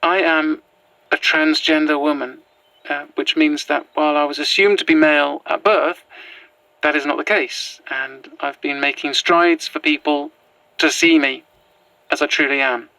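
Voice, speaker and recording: A woman playing an earnest, gentle transgender woman in a horror audio drama, speaking in a sincere, halting way. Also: low voice, steady tone, dry recording, low-quality recording. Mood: earnest, tender. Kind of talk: found-footage horror audio drama acting.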